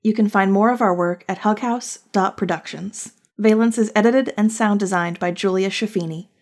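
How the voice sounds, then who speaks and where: A woman's voice: medium pitch, moderate pace. Woman reading scripted podcast credits.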